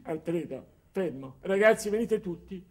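An actor playing a gushing, insistent member of a do-good club in a comic radio drama, repeating himself. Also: male voice, sits high, animated, normal speed.

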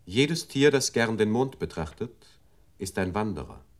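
Grave computer reciting unit, radio drama: lowish register, deliberate, formal recitation. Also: male voice, lively intonation. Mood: logical, grave. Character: male computer memory unit, gravely reciting processed verse.

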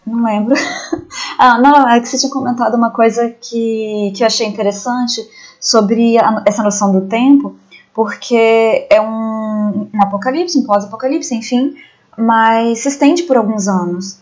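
A woman with a fairly high voice chats as a panelist. She sounds informal, putting her thoughts together aloud in casual film discussion. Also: moderate pace, highs cut off. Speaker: podcast panelist.

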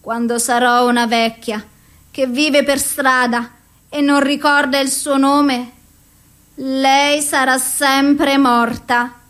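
A woman acting an anguished, mentally ill, lovelorn woman in a theatrical dramatic monologue, with an intense, deliberate delivery. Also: high voice, flat delivery, fairly bright tone. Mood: brooding grief.

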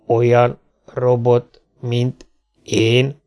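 A man voicing a literal-minded talking robot, polite, with drawn-out, halting words. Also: medium pitch, completely flat delivery, darkish tone, clear, resonant tone, no echo, clean studio sound, soft background music, natural voice. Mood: slow, puzzled.

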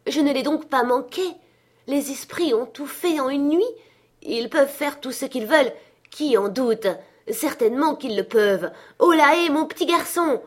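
A woman's voice: on the high side, normal speed, darkish tone, lightly acted. A woman voicing a giddy, reborn old miser in an exclamatory, breathlessly exuberant storybook reading. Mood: eager.

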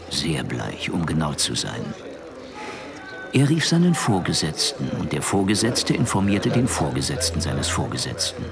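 A man narrating a scripted audio drama, dry and deliberate, with dramatic pauses. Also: deep voice.

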